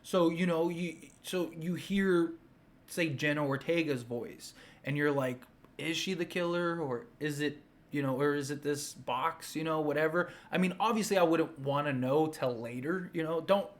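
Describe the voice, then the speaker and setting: A man's voice: on the high side, moderate pace. A man giving casual, unscripted opinion commentary as a fan host, enthusiastic and emphatic, rambling and circling back on his points.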